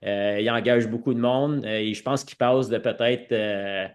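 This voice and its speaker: A man's voice: mid-range voice, fairly even intonation, normal speed. A man with a French Canadian accent telling his own story conversationally as a podcast guest, sprinkling English words into his speech. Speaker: podcast guest, former hockey player.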